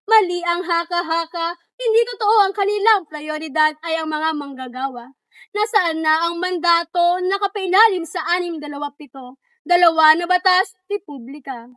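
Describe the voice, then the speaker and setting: A woman's voice: very high voice, moderate pace, a bit bright, dry recording. A woman playing an exploited farm animal, declaiming an aggrieved protest in reader's theatre verse. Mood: indignant.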